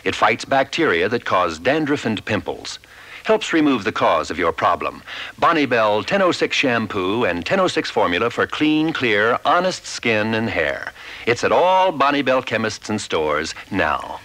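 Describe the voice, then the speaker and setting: Lowish ad man, lively intonation. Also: measured pace. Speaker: advertisement announcer. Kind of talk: scripted 1970s radio commercial.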